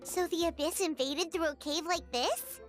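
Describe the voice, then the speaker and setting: An actress voicing a tiny, childlike fairy companion, chirpy and cheeky, with a squeaky voice in an anime game. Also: female voice, normal speed, soft background music. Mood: chirpy, curious. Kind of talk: anime video game voice acting.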